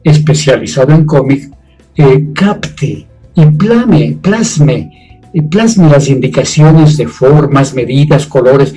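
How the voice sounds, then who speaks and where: A man's voice: a little high, animated, slow, mellow, slightly rough, faint music behind, poor audio. A man, a scriptwriter, giving a prepared testimonial in formal, elaborate wording. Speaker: communicator and scriptwriter.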